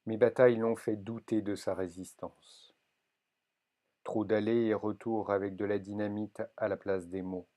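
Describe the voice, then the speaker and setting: Slow-paced man reading poetry, fairly low. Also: dark tone. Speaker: poetry reader.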